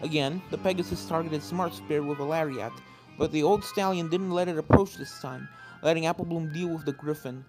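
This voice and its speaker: A fan fiction narrator delivers a scripted adventure story with dramatic emphasis. The voice sits at a middling pitch over clearly audible game-style music. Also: a little fast, low-quality recording.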